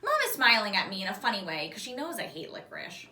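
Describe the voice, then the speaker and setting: Animated woman reading aloud, roomy echo. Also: medium pitch, fast talker. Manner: animated, acting out dialogue. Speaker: teacher reading a children's novel aloud. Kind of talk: expressive storybook read-aloud. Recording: roomy echo, distant mic.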